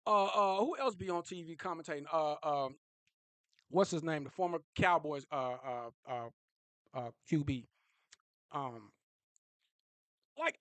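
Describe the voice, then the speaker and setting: Young man, high bright voice, animated fan rant, repeats himself for emphasis, dry close mic. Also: no echo. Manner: animated, repetitive, laughing, emphatic. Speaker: sports fan vlogger. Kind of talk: casual fan reaction rant.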